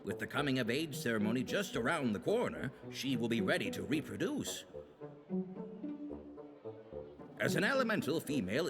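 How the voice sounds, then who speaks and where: A formal fantasy game teacher, measured lecturing, pitch moves around a lot, music underneath. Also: male voice. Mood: formal, instructive. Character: formal male elemental teacher lecturing his students. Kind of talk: scripted visual novel voice acting.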